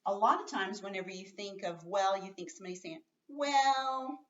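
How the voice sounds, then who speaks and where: A woman teaching young children, reading aloud expressively and explaining simply and patiently, slowing right down to stress words. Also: medium pitch, recorded from a distance, muffled recording. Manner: expressive, emphatic, slows right down on story words.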